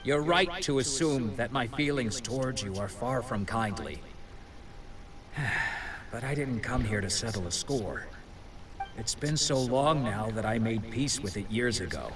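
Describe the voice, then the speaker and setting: An actor playing a stern but forgiving former sword rival in a video game, grave and deliberate. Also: male voice, medium pitch, animated, recorded from a distance, noisy background, natural voice. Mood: resigned.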